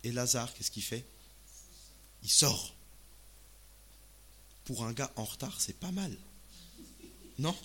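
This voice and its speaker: A man's voice: medium pitch, slow, long pauses. Conversational male preacher, some background noise. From Alsace, France. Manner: expressive, uses rhetorical questions and acted-out asides. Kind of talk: sermon in conversational, colloquial language.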